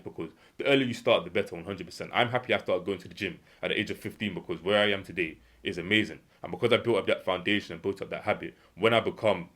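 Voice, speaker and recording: Fast-talking young British man, lowish voice. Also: fairly bright tone. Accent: British English. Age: young adult. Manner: earnest, emphatic, repeats key phrases; acts out imagined dialogue in a lower voice. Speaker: self-improvement vlogger. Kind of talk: unscripted motivational monologue.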